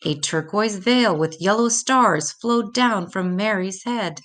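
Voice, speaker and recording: Slow-reading older woman storyteller. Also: mid-range voice, a bit bright. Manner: measured, deliberate read-aloud delivery. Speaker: storyteller reading a children's religious picture book aloud. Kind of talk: scripted children's story reading in rhymed verse.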